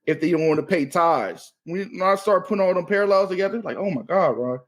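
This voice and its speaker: Man in his thirties, slightly high voice, laid-back rambling chat, comedian guest. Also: fast. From Maryland. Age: 30s. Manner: laid-back, rambling, says "you know what I mean" often. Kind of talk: casual podcast chat.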